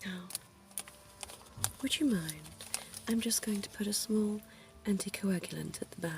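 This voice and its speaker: A woman voicing a centuries-old, genteel female vampire dentist in an ASMR roleplay, speaking in a soft, posh, coaxing whisper. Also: medium pitch, measured pace, gravelly, noisy background. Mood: soothing.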